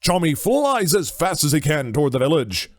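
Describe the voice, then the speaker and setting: A man's voice: fairly high pitch, big pitch swings, normal speed. A man narrating a scripted audio drama story. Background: music.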